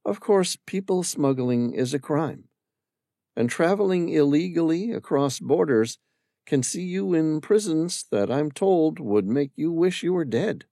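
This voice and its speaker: A man's voice: a little high, lively intonation, mellow, dry recording, studio quality, voice pushed up. A man reading aloud, voicing a wary, determined woman stranded abroad who guards her secrets. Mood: cautious. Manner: wary, quick to shut down.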